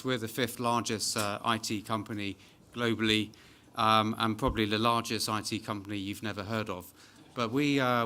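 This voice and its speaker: A man gives short sponsor remarks, self-deprecating and lightly joking. His voice sits a little low yet sounds bright. He speaks in a formal evening-event register. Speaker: corporate sponsor executive.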